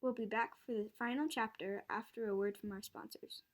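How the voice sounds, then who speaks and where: Child narrator, girl, high voice, scripted radio play narration, chiming music behind. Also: steady tone, fairly quick. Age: child, about 9 or 10. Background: loud chiming music.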